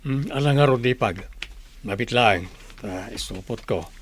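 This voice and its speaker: A man playing a gentle middle-aged village father and tailor in a radio drama. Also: medium pitch, measured pace, slight background noise, close to an everyday voice. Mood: friendly, tired. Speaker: tailor with his own shop. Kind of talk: Ilocano radio drama acting.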